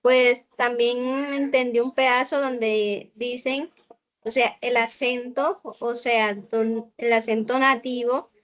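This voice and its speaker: Slow, halting Colombian woman student. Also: on the high side, steady tone, a bit bright. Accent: Colombian Spanish. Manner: halting, many fillers and restarts. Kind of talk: hesitant class answer. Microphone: video call.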